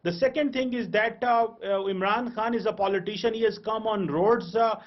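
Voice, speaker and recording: A man with a Pakistani accent giving analysis on a live TV debate, halting and often repeating words. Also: high voice, dull audio. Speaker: panel analyst.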